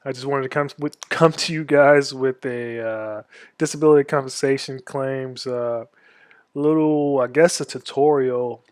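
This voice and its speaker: A man in his twenties from Florida, an army veteran walking viewers through a tutorial in a casual, halting way, stuffed up from a cold. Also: mid-range voice, fairly even intonation, dry recording, studio quality. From Florida, USA. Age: 20s. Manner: halting, many ums and restarts. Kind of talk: casual screen-share tutorial.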